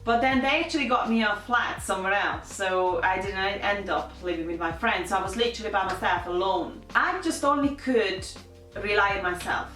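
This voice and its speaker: A woman in her thirties with a Hungarian accent talks to camera about her own life, chatty and open, with many fillers and restarts. Her voice sits mid-pitch and sounds roomy, picked up from a distance. Faint music plays underneath. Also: a bit bright. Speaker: vlogger. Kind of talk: personal life story to camera.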